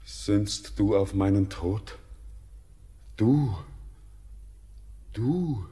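A young actor playing an orphaned sailor, torn between pleading and dread, in a classic radio play. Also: male voice, on the low side, extremely slow, mellow, husky, full character voice. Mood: anxious.

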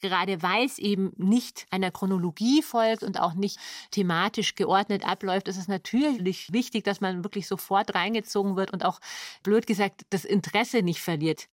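A woman's voice: medium pitch, moderate pace, no room sound, studio quality. A woman who makes radio drama, explaining her craft in interview style.

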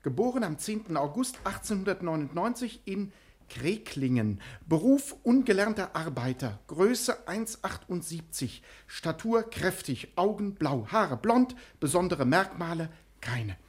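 Obedient jail guard: officious, clipped, strongly varying pitch. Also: male voice, big pitch swings, a little slow. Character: dutiful, brusque male prison officer. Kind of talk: comic German drama acting.

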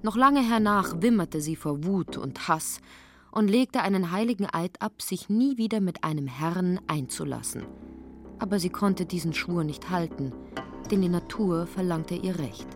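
Woman, lowish voice, literary narration, radio drama, clear music behind. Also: lively intonation, unhurried.

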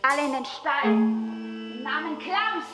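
An actress playing an agitated, proud young barmaid in a literary radio drama, speaking with theatrical emphasis. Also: female voice, high voice, animated, slow, over loud music. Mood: commanding. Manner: theatrical, emphatic, repeating phrases.

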